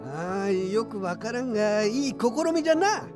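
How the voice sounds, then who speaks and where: A woman playing a gruff but kindly old craftsman with curt old-man speech in an audio drama. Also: medium pitch, lively intonation, normal speed, natural voice. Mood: curious, kindly. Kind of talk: Japanese audio drama acting. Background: background music.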